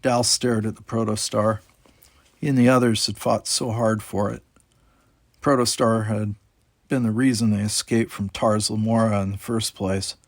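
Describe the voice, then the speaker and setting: A man's voice: on the low side, little pitch movement, normal speed, no room sound. A man reading a children's adventure story aloud as scripted narration. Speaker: audiobook reader.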